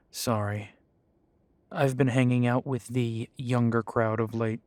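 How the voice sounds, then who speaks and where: A man's voice: medium pitch, level tone, takes it slowly, no room sound, natural voice. A man playing a sardonic half-breed werewolf. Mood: wry. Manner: dry, sarcastic, wry.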